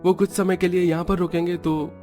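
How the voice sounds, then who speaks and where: A man's voice: sits high, little pitch movement, fairly quick, mellow, faint music behind, natural voice. A man playing a reassuring young man of a joint family in a cartoon, placating and explanatory.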